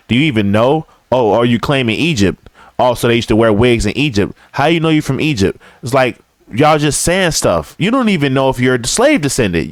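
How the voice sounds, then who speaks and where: A man's voice: medium pitch, dry recording. An American man giving casual, slangy reaction commentary as a co-host, sounding mocking and exasperated.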